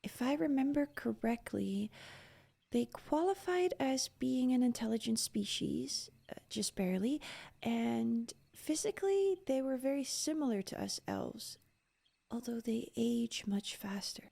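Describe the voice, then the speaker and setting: A woman playing a curious, playful, scholarly young elf in an ASMR roleplay, with a soft breathy voice and a coaxing, teasing manner. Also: fairly high pitch, expressive, a little slow, full character voice. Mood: explaining.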